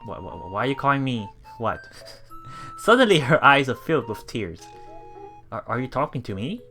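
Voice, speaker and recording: A man's voice: mid-range voice, big pitch swings, moderate pace, sharp tone, faint music behind. A man reading a game aloud as a let's play streamer, reacting casually and repeating phrases as he puzzles things out.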